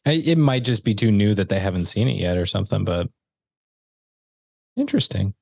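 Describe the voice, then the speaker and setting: A man's voice: lowish voice, animated, fairly quick, gravelly, darkish tone, no echo. A man co-hosting a casual movie review podcast, talking in a rambling, chatty way with lots of restarts. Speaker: podcast co-host.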